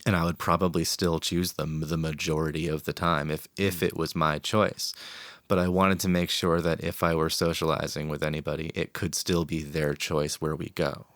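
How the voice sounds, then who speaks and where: A man's voice: extremely low pitch, level tone, normal speed, fairly bright tone, no room sound. A man in a casual podcast panel, reflecting aloud on socializing and drinking, with frequent restarts. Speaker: podcast guest.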